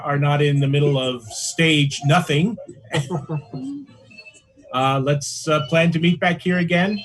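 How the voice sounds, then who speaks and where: A man chairing a club meeting on a video call, talking casually and haltingly, repeating words. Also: fairly high pitch, fairly bright tone.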